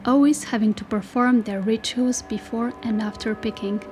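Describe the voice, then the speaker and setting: A woman narrating a dystopian tale as a solemn storyteller, in measured, scripted literary style. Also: a little high, fairly even intonation, noisy background, lightly acted. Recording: music behind the voice. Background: music.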